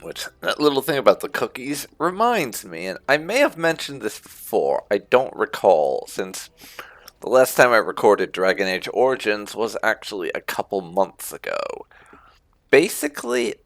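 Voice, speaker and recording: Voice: medium pitch, big pitch swings, moderate pace, no echo. A video game streamer giving casual, rambling let's-play commentary with wry asides.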